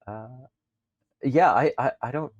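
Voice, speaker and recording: A man on a podcast panel explaining things in casual chat, in a halting way. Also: medium pitch, no echo, muffled recording.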